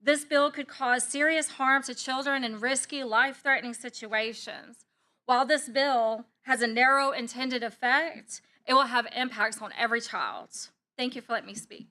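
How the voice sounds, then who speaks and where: A woman speaks up loudly at a public hearing. Her voice is bright and a touch high. She delivers her testimony with earnest concern. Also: fairly even intonation, moderate pace. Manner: loud voice. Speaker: licensed social worker testifying.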